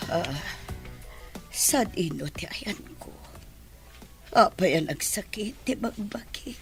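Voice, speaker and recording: A woman with a Filipino accent playing a humble, guilt-ridden, injured nanny in an audio drama, speaking in a halting, plaintive way. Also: mid-range voice, expressive, vocal fry, faint music behind. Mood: dazed, weak. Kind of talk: Ilocano audio drama acting.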